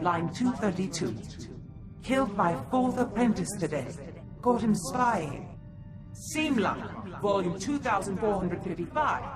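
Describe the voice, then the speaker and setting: An actor playing an erudite, sly Sith scholar in a borrowed body, speaking articulately and theatrically in a video game. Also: male voice, high-pitched. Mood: puzzled, intent. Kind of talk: video game cutscene dialogue. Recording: music behind the voice.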